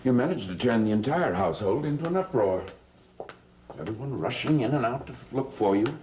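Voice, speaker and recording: An actor playing an aggrieved, insinuating husband in a vintage gothic soap opera, speaking in a formal, measured, pointed way. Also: male voice, mid-range voice, expressive, slight background noise, lightly acted. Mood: reproachful concern. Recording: vintage TV soundtrack with loud music. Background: dramatic background music.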